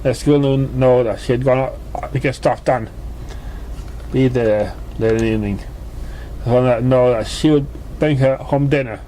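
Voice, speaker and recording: A man narrating a scripted story as an amateur reader, halting and stumbling over words. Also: medium pitch, steady tone, mellow, a little hiss or hum, low-quality recording. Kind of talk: scripted fan fiction narration.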